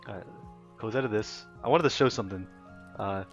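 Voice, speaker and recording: A man's voice: on the low side, fairly quick. Giggly young male streamer, chatting loosely. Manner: giggly, laughs often and rambles with fillers. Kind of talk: casual livestream chat reading chat aloud. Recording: slightly dull lo-fi stream audio with faint music. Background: faint background music.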